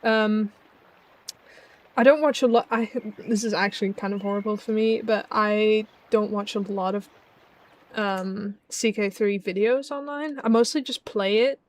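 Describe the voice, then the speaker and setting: A woman's voice: mid-range voice, steady tone, unhurried. A young woman from the Netherlands with a Dutch accent tinged with British and Scottish sounds, chatting casually with her stream chat while playing, with many ums and restarts. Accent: Dutch with British and Scottish traces. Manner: chatty, frequent ums, reads chat aloud.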